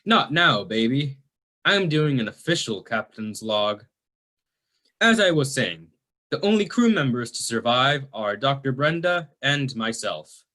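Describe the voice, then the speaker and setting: A man's voice: medium pitch, very animated, a little slow, sharp tone, exaggerated voice. A man playing a cocky, dim heroic spaceship captain with self-important bravado in a campy sci-fi radio play.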